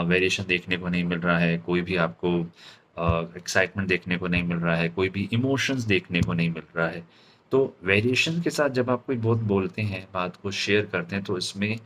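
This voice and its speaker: An Indian man giving an instructional lesson as a public speaking trainer, deliberate and stressing his key words. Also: low voice, fairly even intonation, fairly bright tone, a little hiss or hum. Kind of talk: instructional lesson mixing Hindi and English.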